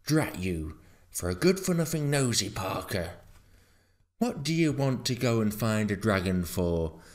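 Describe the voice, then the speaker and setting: A man's voice: fairly low pitch, animated, slow. A man voicing a blunt, easily irritated farmer in a storybook, scolding in rustic turns of phrase. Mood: exasperated. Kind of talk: storybook character voice.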